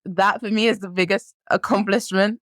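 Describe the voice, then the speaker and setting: A woman's voice: medium pitch, steady tone, normal speed, fairly bright tone, dry recording, clean studio sound. A nineteen-year-old woman, a native French speaker from France, chatting as a podcast guest, laughing often and using lots of ums. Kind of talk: casual interview chat.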